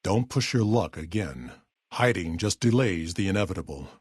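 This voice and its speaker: Weary super mutant leader, low husky voice, expressive pitch swings, blunt authority, video game character. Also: male voice, moderate pace, dry recording, clean studio sound. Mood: calm, blunt. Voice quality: deep, gravelly, husky. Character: gruff, weary, level-headed super mutant town leader.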